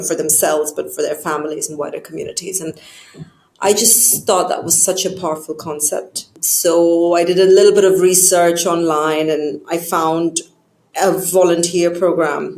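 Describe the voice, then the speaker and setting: A woman's voice: deep voice, darkish tone. A woman from southern India, explaining her work and career articulately in a conversational interview. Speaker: charity chief executive, interviewee. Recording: roomy, echoing sound.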